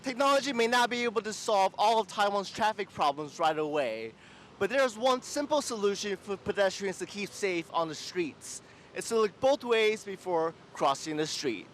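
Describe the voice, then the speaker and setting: A man delivering a scripted news report in a lively, expressive way. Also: high-pitched, moderate pace, a little hiss or hum.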